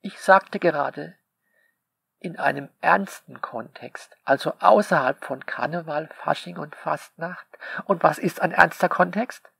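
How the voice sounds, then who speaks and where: A man talks to his listeners in a slow, unhurried drawl. His voice is gravelly with fry. He sounds sarcastic and polemical, and his delivery is full of rhetorical questions, recorded dry and close with no room sound. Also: on the high side, a bit bright, no room sound. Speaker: author reading commentary on his novel. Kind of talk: spoken monologue to listeners.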